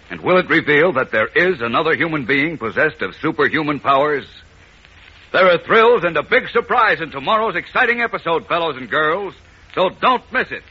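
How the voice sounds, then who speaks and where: A man's voice: mid-range voice, pushed and bright, slightly rough. A man narrating a 1940s radio serial in a dramatic scripted style. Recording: muffled vintage radio broadcast.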